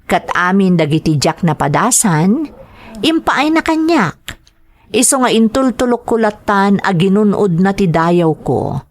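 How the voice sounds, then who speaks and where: A woman's voice: mid-range voice, big pitch swings, measured pace. A woman with an Ilocano accent playing Maricel's part, a coy, ambitious young mistress in a radio drama. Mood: confiding narration.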